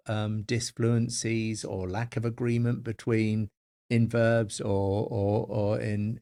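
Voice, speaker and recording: A man's voice: fairly low pitch, dark tone. A middle-aged man co-hosting a podcast on forensic linguistics, speaking thoughtfully and often repeating words as he works out his points. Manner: hesitant, thoughtful, repeats words while thinking. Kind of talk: academic podcast discussion.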